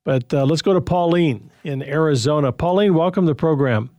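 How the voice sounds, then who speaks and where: A man's voice: a little high, brisk, warm tone, no echo, highs cut off. A man hosting a call-in advice show on the radio, talking in a loose, conversational way and chuckling often. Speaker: radio host.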